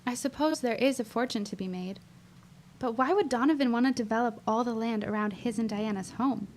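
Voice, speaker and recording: Casting: actress as plucky, curious woman neighbour, higher voice, earnest period-drama diction, noticeable background noise. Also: a little fast, a little mellow, lightly acted. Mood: concerned. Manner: earnest, old-fashioned formal phrasing. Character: eager, inquisitive, plucky woman, loyal neighbour. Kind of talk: period audio drama acting.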